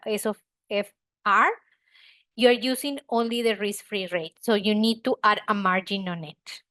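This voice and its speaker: Woman, webinar presenter, mid-pitched voice, explanatory, emphatic delivery, dry close sound. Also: no room sound. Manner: explanatory, emphatic, poses questions then answers them. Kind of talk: professional webinar lecture with audience questions.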